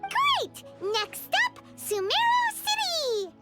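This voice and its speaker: A woman's voice: extremely high pitch, huge pitch swings, slow, heavily acted. A woman voicing a small, cheerful, childlike female companion in a video game, speaking in a chirpy, earnest way. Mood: cheerful, eager. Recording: background music under the voice.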